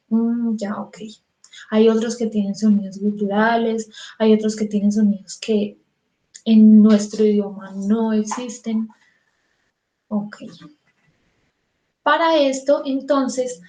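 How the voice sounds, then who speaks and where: A singing teacher with a Colombian Spanish accent, talking to her students in a chatty, informal way and answering her own questions as she goes. Her voice sits fairly high but sounds mellow and warm, not sharp. The room gives back a clear echo. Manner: chatty, self-answering, many fillers. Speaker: vocal technique teacher. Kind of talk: online singing lesson.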